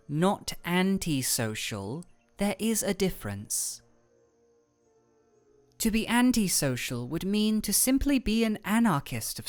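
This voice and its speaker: A woman playing an aloof, regal ice elemental royal in a scripted fantasy audio roleplay, speaking in a formal, measured, deadpan way. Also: fairly low pitch.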